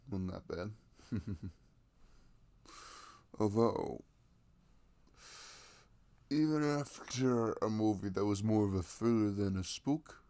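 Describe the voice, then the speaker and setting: A man acts out a sweet young boyfriend cuddled up with his partner. His voice is low and gravelly, and he talks softly and unhurriedly in a casual, affectionate ASMR style, close to the mic in a dry space. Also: no echo, full character voice. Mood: playful, relaxed. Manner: soft, rambling, frequent chuckles and hums. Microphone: close microphone.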